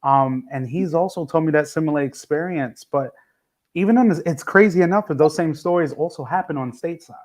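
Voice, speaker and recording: A man hosting a relaxed podcast chat, his voice sitting a bit higher than most men's. He winds through long, meandering questions, restarting and circling back as he goes. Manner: rambling, restarts often, drawn-out phrasing. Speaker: podcast host. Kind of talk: casual interview.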